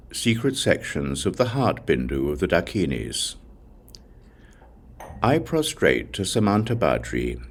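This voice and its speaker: A man reading a Buddhist scripture as an audiobook, reciting in measured, solemn short phrases. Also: sits low. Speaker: audiobook reader.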